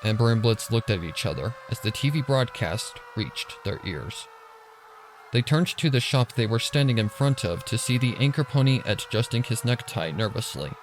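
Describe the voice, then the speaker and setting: A man's voice: medium pitch, expressive, moderate pace, slight background noise. A man reading a scripted story as a dramatic narrator. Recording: narration over music and sirens.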